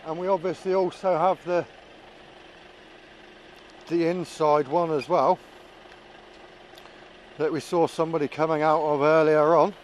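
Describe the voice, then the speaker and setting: A man's voice: a little high, flat delivery, a little slow, long pauses, slight background noise. A man giving casual, musing running commentary as a vlogger flying a drone, thinking aloud as he goes. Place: outdoors.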